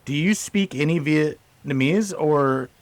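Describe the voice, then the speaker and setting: A man's voice: a little high, slow pace, dry recording. A man co-hosting a casual podcast, rambling with many restarts and fillers. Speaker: podcast co-host.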